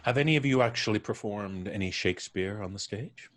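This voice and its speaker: A man hosting a live show, speaking in a measured, theatrical way as he chats with performers. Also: on the low side. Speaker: podcast host. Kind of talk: warm-up chat after a live show.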